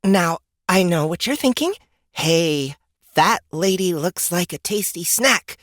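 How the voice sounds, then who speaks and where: A woman's voice: fairly low pitch, expressive, no echo, clean studio sound. A woman playing a frightened, wisecracking heroine in a fantasy audiobook, nervously rambling to talk her way out of danger. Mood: nervous, placating. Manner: nervous, rambling, halting, sarcastic. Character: frightened, wisecracking woman babbling to placate a monster. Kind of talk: dramatized fantasy audiobook acting.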